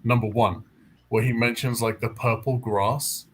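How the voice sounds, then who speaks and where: A man's voice: medium pitch, steady tone, a little slow. Man chatting about books over background noise. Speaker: book readalong host. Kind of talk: casual book discussion chat. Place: indoors, online group call.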